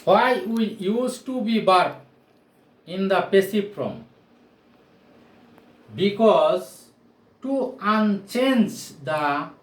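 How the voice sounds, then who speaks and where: Slow, swooping male teacher, non-native English. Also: fairly high pitch. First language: not English. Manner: deliberate, repeats key phrases, projected classroom delivery. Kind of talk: whiteboard grammar lesson, explanatory with rhetorical questions. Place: small indoor room with bare walls, at a whiteboard. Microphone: camera or phone mic a few steps away. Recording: roomy echo, distant camera microphone.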